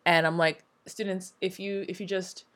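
Young teacher woman, lots of energy, low-ish voice, acts out quotes all the time. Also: little pitch movement, moderate pace, fairly bright tone. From Nigeria and America. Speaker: English teacher in Korea. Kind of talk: casual chat.

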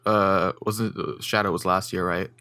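Deep-voiced young man, halting and dry. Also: little pitch movement, bright, forceful tone, no echo. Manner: halting, repeats words, matter-of-fact. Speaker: podcast co-host. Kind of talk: casual podcast chat.

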